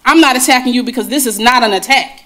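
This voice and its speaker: A woman's voice: a little high, fast talker, bright, forceful tone. A woman on a school board speaking firmly and insistently in a heated meeting, describing herself as having a strong voice.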